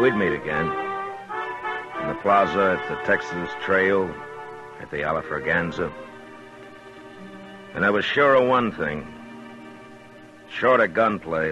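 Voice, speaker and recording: An American actor playing a sober, plain-spoken Old West marshal in a 1950s radio western, with a measured, understated delivery. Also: male voice, deep voice, highs cut off. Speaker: lead actor and narrator. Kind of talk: 1950s radio western acting, narration and dialogue. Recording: vintage 1950s radio recording.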